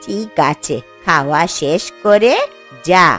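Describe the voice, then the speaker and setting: A woman playing a kindly old village granny in a cartoon fairy tale, unhurried, maternal and gently chiding. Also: on the low side, faint music behind. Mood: advising, firm.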